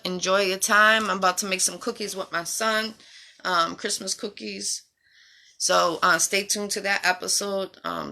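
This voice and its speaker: A woman's voice: medium pitch, gravelly, bright, forceful tone. A woman in her forties hosting a casual interview show, chatty and upbeat. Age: 40s. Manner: chatty, upbeat, quick asides. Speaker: show host.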